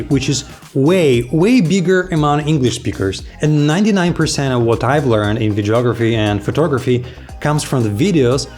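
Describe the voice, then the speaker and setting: A young man talks straight to camera in a relaxed, playful vlog, jumping now and then into mock astonishment. His voice sits at an ordinary middle pitch, and soft music plays quietly underneath. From Belarus. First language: Belarusian. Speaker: video creator. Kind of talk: casual vlog talk to camera.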